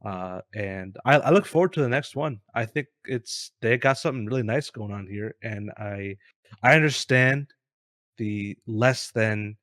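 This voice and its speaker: Male co-host for casual gaming talk: somewhat low voice, no room echo, keen but halting delivery. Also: fairly bright tone, clean studio sound.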